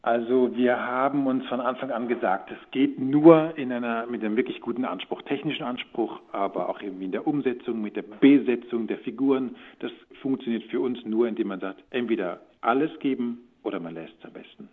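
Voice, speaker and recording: Husky man on a phone-like line. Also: mid-range voice, a little fast, few pauses. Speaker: audio drama producer and voice-over speaker. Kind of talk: casual interview answers.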